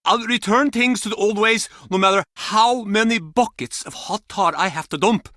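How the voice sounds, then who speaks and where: A man's voice: high-pitched, normal speed, sharp tone, dry recording, clean studio sound. A man playing a bitter, cranky ex-sailor in a video game, with a brusque, mocking, theatrical manner. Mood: theatrical, defiant. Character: gruff, bitter Icelandic ex-sailor, cranky menacing male pub regular. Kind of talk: video game character acting.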